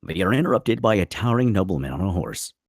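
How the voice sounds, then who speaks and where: A man's voice: sits low, sing-song, fast talker, dry recording, clean studio sound. A man reading screenplay stage directions as the narrator of a table read.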